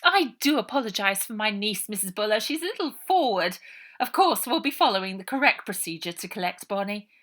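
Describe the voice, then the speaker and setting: A woman's voice: medium pitch, sing-song, brisk, a bit bright, pitched above the performer's own voice. A woman voicing a kind, posh young lady with an upper-class British accent who fusses with gushing endearments. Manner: fussing, sing-song endearments.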